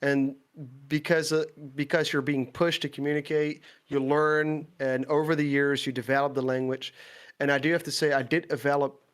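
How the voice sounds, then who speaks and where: A man's voice: fairly high pitch, little pitch movement, normal speed. A young man from the Netherlands chatting casually, reflective and hesitant, in English with an American-sounding Dutch accent. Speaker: podcast guest, gaming content creator. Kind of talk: casual chat.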